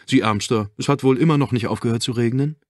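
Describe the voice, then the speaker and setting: Audiobook role: eager, chirpy young receptionist, lifted high and chatty male reader playing her. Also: fast talker, no echo, clean studio sound, close to an everyday voice. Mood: welcoming, sympathetic. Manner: bright, gushing, chatty.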